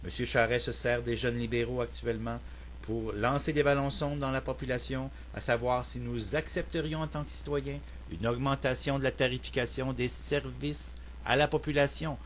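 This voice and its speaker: A man's voice: mid-range voice, fairly bright tone. Male citizen commentator, Québécois accent, mid-range voice, earnest and declamatory, amateur recording with a faint hum. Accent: Québécois French. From Quebec, Canada. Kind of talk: prepared political opinion monologue.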